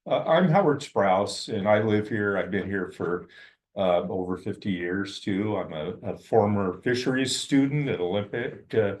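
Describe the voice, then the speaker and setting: An older man from Washington State introduces himself at a public meeting, speaking loudly with halting fillers. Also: on the low side. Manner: loud, projecting, halting with fillers. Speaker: audience member, cleanup business owner. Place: community meeting room.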